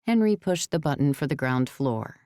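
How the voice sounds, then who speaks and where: A woman's voice: extremely low pitch, expressive, moderate pace, mellow, no echo, clean studio sound. A woman reading scripted novel narration as an audiobook narrator.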